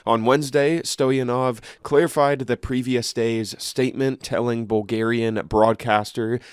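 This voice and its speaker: A man's voice: mid-range voice, steady tone, measured pace, clean tone, no room sound, clean studio sound. A congested man hosting his own channel, reading news articles aloud and then chatting casually with fillers and restarts. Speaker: channel host.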